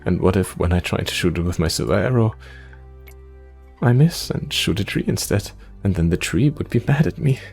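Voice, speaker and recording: A man voicing a nervous, lively young forest adventurer. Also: low voice, normal speed, vocal fry, slightly rough, exaggerated voice. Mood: rambling, flustered. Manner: nervous, hesitant stammering and rambling. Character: anxious, rambling, self-doubting young forest-dwelling adventurer. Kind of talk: visual novel character read-aloud. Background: music.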